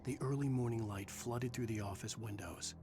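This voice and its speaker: A male actor playing a troubled writer narrating his own thriller in the first person, measured and serious. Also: mid-range voice, noisy background, close to an everyday voice. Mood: brooding, wary. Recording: music under the voice.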